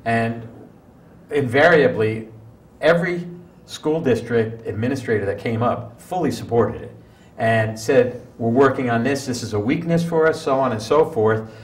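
A man's voice: medium pitch, moderate pace. An older man talking as a guest in a studio panel discussion. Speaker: interview guest. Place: small TV studio, round table. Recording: roomy, distant mic, faint noise.

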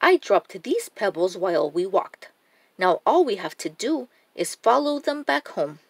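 A woman voicing a clever, encouraging young boy from a storybook, speaking deliberately. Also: mid-range voice, sing-song, breathy edge, no room sound, exaggerated voice. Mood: confident.

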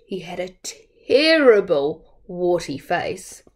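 A woman's voice: lowish voice, big pitch swings, slow. A woman in her thirties reading a children's story aloud expressively, as a storyteller at home. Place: small room at home.

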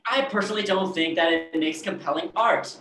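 A man's voice: sits high, brisk, pushed and bright, soft background music. A young man playing a sullen, argumentative aspiring painter in a staged play reading. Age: young adult. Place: bare brick-walled room, video call. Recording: echoey room, noisy video call.

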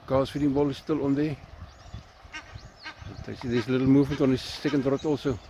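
A man's voice: medium pitch, fairly quick. A middle-aged South African man casually explaining his fishing setup step by step, outdoors by a dam. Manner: relaxed, explains step by step with fillers. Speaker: fishing vlogger. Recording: dull lo-fi with loud outdoor noise.

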